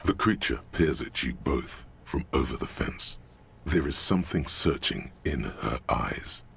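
A man voicing a grave, brooding narrator in a game, reading in a measured, literary way. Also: animated, fairly bright tone, heavily acted. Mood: grave, watchful. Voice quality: very deep, gravelly. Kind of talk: scripted second-person game narration.

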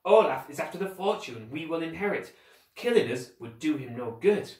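A man's voice: on the high side, animated, moderate pace, a little mellow, roomy sound, far from the mic. A man voicing an anxious, polite and clever young girl in a storybook, speaking carefully and hesitantly. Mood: doubtful.

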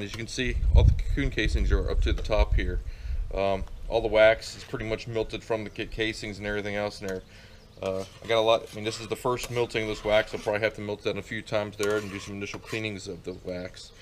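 A middle-aged man with a lowish voice walks through a beekeeping chore outdoors, rambling and restarting his sentences as he goes. He is picked up by a camera mic in a farmyard, with faint outdoor ambience behind him. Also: fairly even intonation, fast talker, a little hiss or hum. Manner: rambling, many fillers and restarts. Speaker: beekeeper showing a how-to. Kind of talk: casual how-to demonstration.

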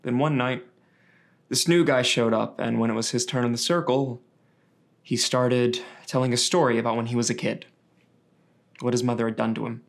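A young man delivers a first-person testimonial as a read monologue. His voice sits at a middle pitch. He tells it conversationally, with small hesitations. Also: steady tone, normal speed, pauses often. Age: young adult. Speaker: reader of a survivor's story. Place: indoors against a dark backdrop.

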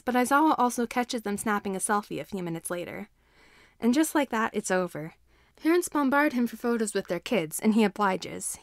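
Female story narrator, mid-pitched, dry sound. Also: dry recording. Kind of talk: scripted story narration of fan fiction.

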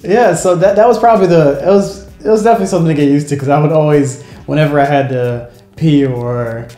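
A man's voice: a little high, quick pace, mellow. A young American man chatting casually to camera in a laid-back, lightly amused way, recorded indoors at home. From United States. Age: young adult. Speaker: vlogger. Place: small room in a home, indoors. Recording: roomy echo, music behind.

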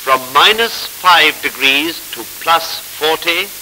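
A man narrating a 1940s instructional film in a formal, measured, explanatory manner, on a scratchy old film soundtrack. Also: a little high, bright, forceful tone.

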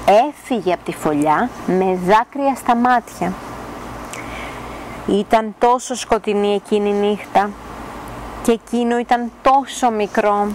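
A woman's voice: mid-range voice, a little mellow, low-quality recording. A young woman reading a children's fairy tale aloud expressively, recorded outdoors in a garden. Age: young adult. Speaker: storyteller reading a children's book. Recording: clear outdoor background noise.

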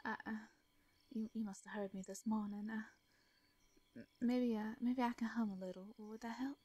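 A woman plays a shy, tender hermit farmer woman with a Southern drawl in a storytelling audio roleplay. She talks softly with little stammers and light laughs, in a slightly high, breathy voice. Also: steady tone, normal speed. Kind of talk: soft comforting audio roleplay.

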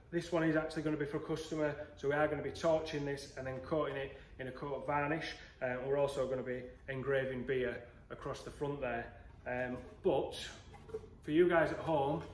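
A man in his thirties talks viewers through a woodworking build in a relaxed, chatty way. His voice sits a little high. The sound bounces around a hard-walled workshop, with a faint hum underneath. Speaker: woodworking channel host. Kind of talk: casual workshop tutorial. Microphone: handheld phone camera, moving. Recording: echoey workshop with faint noise.

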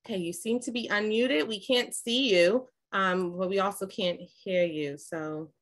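A woman hosting a virtual candidates' forum on a video call, speaking in a polite, measured way. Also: medium pitch, a bit bright. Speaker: host and moderator.

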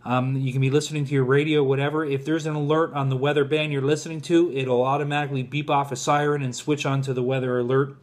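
A man in his forties with a mid-pitched voice gives a casual product review. He talks informally and directly to his viewers, as if to a group of guys. He is indoors at home, speaking toward a camera close in front of him. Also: level tone, fast talker, hardly any pauses.

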